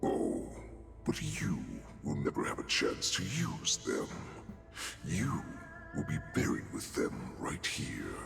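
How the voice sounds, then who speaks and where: A man playing a menacing, predatory wolfish warlord in a video game, with a growling rasp and a slow, theatrical delivery. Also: extremely low pitch, wildly swooping pitch, fairly bright tone, exaggerated voice. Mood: cruel taunting. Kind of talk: dramatic video game acting. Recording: long reverb, music behind.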